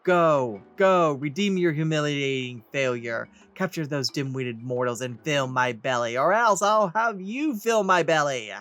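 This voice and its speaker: Man putting on a loud, furious demon queen. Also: fairly high pitch, unhurried, hardly any pauses. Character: furious demon mother of the underworld, menacing, commanding.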